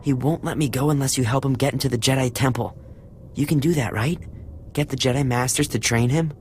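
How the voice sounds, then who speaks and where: Anxious, pleading captive interpreter. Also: female voice, extremely low pitch, fairly quick, darkish tone, a little hiss or hum. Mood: worried. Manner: hesitant, pleading, earnest. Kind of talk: dramatic video game acting.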